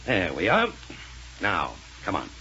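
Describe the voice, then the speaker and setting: Villainous boss, low forceful male voice, curt, assured, old radio serial. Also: wildly swooping pitch, slow, full character voice. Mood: smug, assured. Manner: curt, ordering. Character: commanding, self-assured male space villain. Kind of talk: 1930s radio serial acting. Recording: muffled 1930s radio recording, faint noise.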